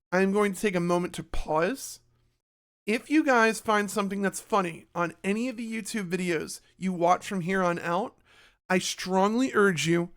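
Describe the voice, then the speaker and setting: A man with a slightly raspy voice giving a chatty, self-mocking channel update to his viewers. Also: sits high, fairly bright tone. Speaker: YouTube creator. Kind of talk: casual channel update monologue.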